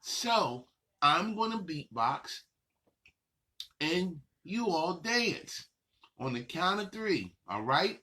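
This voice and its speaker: A man hosting a children's session, guiding movement with encouraging, drawn-out instructions. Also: fairly high pitch, big pitch swings, pushed and bright. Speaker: children's show host leading warm-up exercises.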